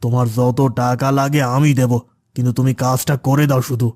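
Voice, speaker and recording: A man's voice: mid-range voice, little pitch movement, fairly quick, darkish tone, close to an everyday voice. A man voicing an envious, resentful schemer in a cartoon, speaking in an aggrieved manner. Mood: eager, satisfied. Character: envious, resentful man plotting against his friend. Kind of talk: cartoon voice acting.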